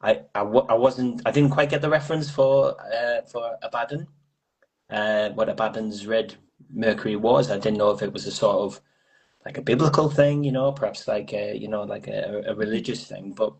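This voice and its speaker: Low, husky man, halting and rambling. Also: fairly even intonation, a little mellow, low-quality recording. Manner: tentative, rambling, repeats words. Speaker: host of a live poetry reading. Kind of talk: casual discussion of poems.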